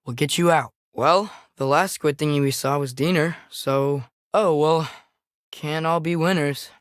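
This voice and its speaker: A man's voice: a little high, unhurried, dry recording, clean studio sound, lightly acted. Earnest American teenage boy adventurer, acted by a young man. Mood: uneasy, thoughtful. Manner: earnest, questioning, youthful casual phrasing. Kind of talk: naturalistic video game character acting.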